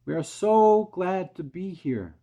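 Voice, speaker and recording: A man's voice: medium pitch, expressive, takes it slowly, warm tone, pitched above the performer's own voice. A man voicing an eager, cheerful small boy who reads out rhyming verse in a sing-song way.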